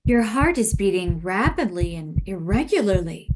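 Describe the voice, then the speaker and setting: A woman playing a brisk, commanding nurse in an audio play, deadpan, clipped and formal. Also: mid-range voice, background noise, close to an everyday voice. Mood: commanding, clinical.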